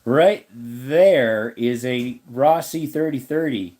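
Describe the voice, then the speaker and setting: A man with a slightly raspy voice hosts a relaxed live stream. He rambles and thinks aloud as he answers his viewers' comments. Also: medium pitch, takes it slowly. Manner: rambling, thinks aloud while looking things up.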